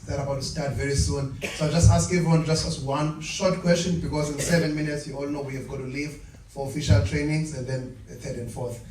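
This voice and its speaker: A man moderating a press conference in a brisk, businesslike way. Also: fairly high pitch, steady tone, darkish tone. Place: large indoor press conference room. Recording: echoey room, distant mic, faint noise.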